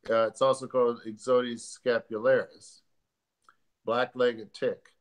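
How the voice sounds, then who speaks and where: A man, hosting a live stream as a doctor from his living room, a bit congested, pausing often with ums and uhs. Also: mid-range voice, flat delivery. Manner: halting, many ums and uhs. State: congested from allergies. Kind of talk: casual educational talk. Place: living room at home, sitting on a sofa. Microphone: webcam or laptop camera at desk distance.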